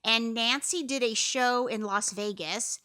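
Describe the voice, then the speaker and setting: A woman's voice: on the high side, unhurried, pushed and bright, clear, resonant tone. A woman in her fifties who co-hosts a casual podcast, telling stories and often turning to her listeners. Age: 50s. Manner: chatty storyteller who keeps addressing listeners. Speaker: podcast co-host, mother. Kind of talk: casual chat.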